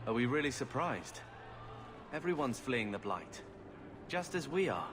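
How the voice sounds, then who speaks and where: A man's voice: mid-range voice, big pitch swings, measured pace. A man playing a cynical, impatient young refugee in a fantasy game, grumbling. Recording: noisy outdoor game ambience.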